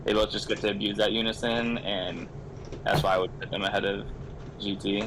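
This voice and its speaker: A man in a casual card game chat, speaking in a measured, analytical way. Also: on the low side, steady tone, background noise.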